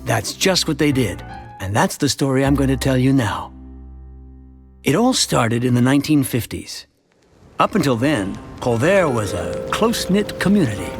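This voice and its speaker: A man playing a chatty, wry middle-aged suburban family man who narrates his town's story in an animated short. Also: medium pitch, moderate pace. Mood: storytelling, wry. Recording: music behind the voice. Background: music.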